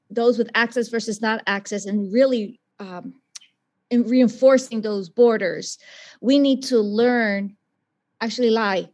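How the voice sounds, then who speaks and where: A woman from the South Bronx speaking as a scientist and teacher on a webinar panel, passionate and emphatic in her answer. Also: on the high side. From South Bronx, New York.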